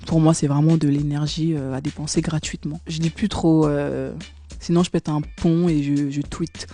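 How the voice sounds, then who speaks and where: A woman's voice: low voice, flat delivery, moderate pace, dark tone, faint music behind. A woman singer answering interview questions casually, with slang, frequent giggles and many hesitations.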